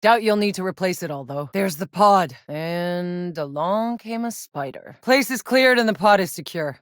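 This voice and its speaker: An actress voices a battle-hardened young female fighter from a sci-fi shooter game. She is sardonic and gritty, quick with profanity and dry wit. The sound is tight and studio-dry, with no room around the voice. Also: lowish voice, animated, no echo, close to an everyday voice. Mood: wry, weary. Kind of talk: video game character voice lines.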